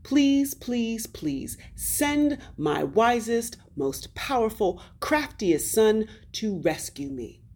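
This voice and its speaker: A trickster spider father from a storybook folktale, voiced by a woman, pleads with grand drama. The delivery is husky and slow, stretching out the important words. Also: a little high, sing-song, darkish tone, pitched above the performer's own voice, heavily acted. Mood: pleading.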